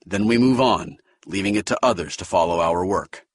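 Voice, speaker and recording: A formal, buttoned-up senior science officer, voiced by a man reading an audiobook speaks in measured, precise phrases. The voice sits low with a husky edge and lively pitch movement, heard close and dry. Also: no echo.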